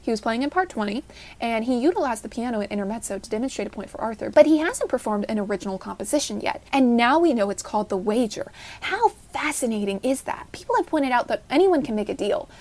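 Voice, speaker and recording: A woman's voice: a little high, animated, fast, darkish tone. A woman delivering prepared fan commentary in an earnest, articulate and emphatic way, as a listener's voice message.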